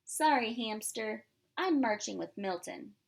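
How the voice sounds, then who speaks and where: A woman's voice: on the high side, expressive, measured pace, creaky, a little hiss or hum. A woman reading the lines of a determined, inventive young girl from a picture book in an earnest manner.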